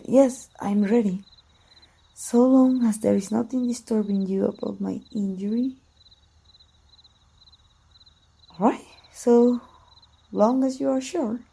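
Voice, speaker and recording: A woman voicing a proud, teasing, wounded immortal warrior in an ASMR roleplay, with soft, breathy, archaic formal speech. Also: a little high, exaggerated voice. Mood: uneasy, hesitant.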